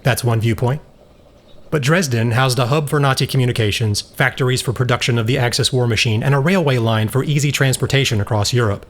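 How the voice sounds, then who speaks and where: Actor as firm, serious ex-soldier, medium pitch. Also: male voice, brisk, lightly acted. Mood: grim, determined. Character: resolute, hard-line former soldier. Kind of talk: audio drama acting.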